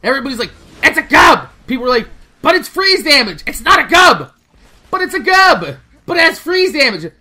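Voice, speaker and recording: Bright, high-voiced woman gaming host. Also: fairly quick. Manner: animated, informal, swears freely. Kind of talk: casual excited game news commentary.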